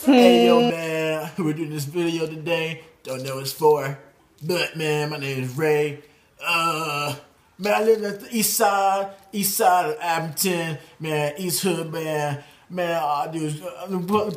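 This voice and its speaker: A man playing a swaggering young tough guy who talks in slangy, halting, repetitive bursts in an improvised puppet skit. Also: a little high, lightly acted. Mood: swaggering, rambling. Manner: slangy, halting, repeats himself. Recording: echoey amateur room recording.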